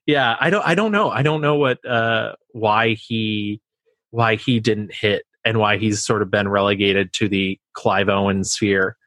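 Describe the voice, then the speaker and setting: A man with a Midwestern American accent co-hosting a casual film podcast, talking in a halting, restarting way. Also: on the low side, fairly even intonation, fairly bright tone, dry recording. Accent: generic Midwestern American. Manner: hesitant, lots of restarts, laughs. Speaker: podcast co-host.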